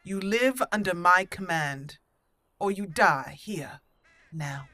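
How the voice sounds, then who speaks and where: A woman hosting a roleplay let's play, voicing dialogue deliberately and theatrically and adding her own commentary. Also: lowish voice, expressive, pushed and bright, no echo. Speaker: gaming channel host.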